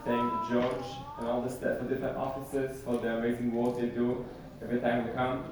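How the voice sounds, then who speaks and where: A man's voice: medium pitch, completely flat delivery, a little fast. A man from Israel, a new citizen, giving a short, earnest speech of thanks at a ceremony. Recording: distant echoey hall, noisy.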